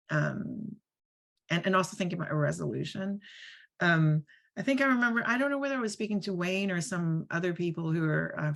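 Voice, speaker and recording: A woman's voice: low-pitched, vocal fry. A woman speaking in an online panel discussion, thoughtful and searching, with many restarts and fillers, heard over a video call. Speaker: roundtable speaker.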